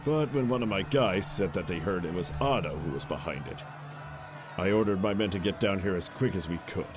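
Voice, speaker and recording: A man's voice: low voice, expressive, a little fast, noisy background. A man voicing a stern, blunt military commander who shows grudging respect, as audiobook dialogue. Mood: brusque, scornful. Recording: background music under the voice.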